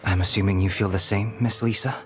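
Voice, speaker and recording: A man's voice: fairly low pitch, fairly even intonation, fast, soft background music, lightly acted. A man playing a reserved, formal, dutiful officer in an anime game, matter-of-fact and businesslike. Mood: dry, businesslike. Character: stiff, formal workaholic male officer, curt and dutiful.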